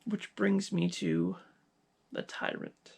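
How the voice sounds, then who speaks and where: A man playing a cold, gloating scientist villain in an ASMR roleplay, speaking with deliberate, menacing pauses in a gravelly, husky voice. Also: high voice, flat delivery, heavily acted. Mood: proud, coldly menacing.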